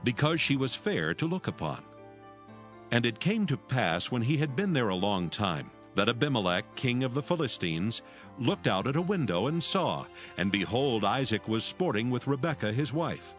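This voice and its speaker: A man narrating scripture from a script in a formal, measured manner. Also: medium pitch, very animated, a bit bright. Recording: music behind. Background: music.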